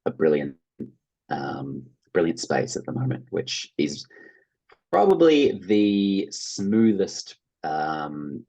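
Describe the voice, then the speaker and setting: Very deep, husky Australian man. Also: sing-song, slow, mellow, rough recording. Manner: enthusiastic, informal, acts out imagined reactions. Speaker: interview guest, actor and theatre producer. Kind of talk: casual interview conversation.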